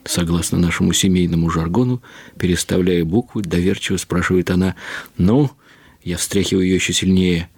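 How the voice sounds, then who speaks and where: An older man giving a reflective, expressive and unhurried dramatic reading of literary prose for radio. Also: low voice, breathy edge, no room sound. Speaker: narrator. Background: music.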